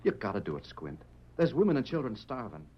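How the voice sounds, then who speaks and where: A man playing a desperate, earnest Old West townsman in a radio western, husky, folksy and imploring. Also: on the low side, very animated, brisk. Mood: pleading. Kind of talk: 1940s radio western acting. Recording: muffled vintage radio recording.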